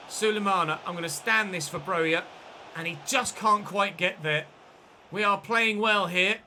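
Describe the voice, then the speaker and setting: A man commentating his football video game in a chatty way, breaking into excited shouts at big moments. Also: sits high. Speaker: gaming channel host.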